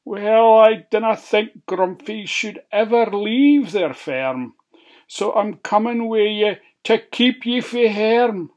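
Storybook: reader doing a fretful homebody Scottish pig, raised pitch. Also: takes it slowly, a little mellow, natural voice. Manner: fretful, warning. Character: cautious homebody male pig.

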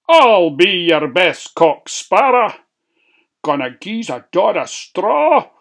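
A reader with a Scottish accent voicing an excitable young male piglet in a storybook, with a sing-song, rhyming way of talking. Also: mid-range voice, creaky, slightly rough, voice pushed up, heavily acted.

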